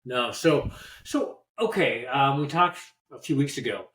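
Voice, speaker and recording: A podcast host with a mid-pitched voice, asking questions in a casual interview. The phrasing is halting, with words repeated. The sound is roomy and echoing. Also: lively intonation, a bit bright.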